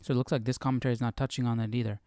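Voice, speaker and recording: A man's voice: mid-range voice, level tone, mellow, smooth, no echo. Man musing aloud, clean and close. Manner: musing, questioning, halting. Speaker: host of a live Bible study. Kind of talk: casual reading and commentary, thinking aloud.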